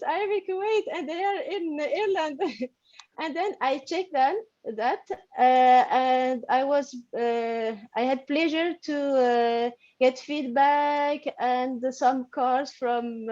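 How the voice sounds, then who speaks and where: A middle-aged pediatrician from Algeria, speaking English with a French accent over a video call, halting with many fillers. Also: female voice, sits high, darkish tone. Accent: French-influenced English. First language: not English; studied in French. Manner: halting, many fillers and restarts, laughs often. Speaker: pediatrician and course student. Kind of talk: webinar interview. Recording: dull lo-fi call audio.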